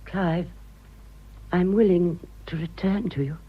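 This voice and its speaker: An actress playing a vain, theatrical aging British society wife in a radio drama, grand, emphatic and melodramatic. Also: female voice, lowish voice, steady tone, takes it slowly, husky. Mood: wistful. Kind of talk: radio drama acting of a stage comedy. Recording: dull lo-fi radio drama recording.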